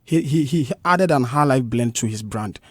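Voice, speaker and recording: A man's voice: medium pitch, lively intonation, moderate pace, no room sound. A man with a Ghanaian English accent speaks into a studio microphone as a musician guest on a radio show. He talks with passion and emphasis and drives his points home by repeating them. His voice sits in the middle range, and the booth sounds dry and close.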